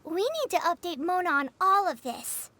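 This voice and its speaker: A woman's voice: very high-pitched, very animated, moderate pace, pushed and bright, slight background noise, exaggerated voice. A woman voicing a small, childlike, eager fairy companion in a video game. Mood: earnest. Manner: refers to self by name, exclaiming.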